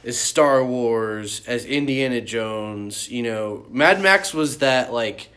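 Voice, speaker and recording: A man's voice: medium pitch, takes it slowly, fairly bright tone. A man co-hosting a podcast, chatting casually with friends about entertainment in a profane, opinionated way. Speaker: podcast co-host. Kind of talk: casual movie discussion among friends.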